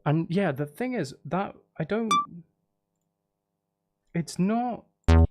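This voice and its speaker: A man's voice: high voice, animated, slow pace. A man streaming casually, muttering and thinking aloud as he works on music. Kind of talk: casual livestream chat while making music. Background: electronic drum beats and synth sounds.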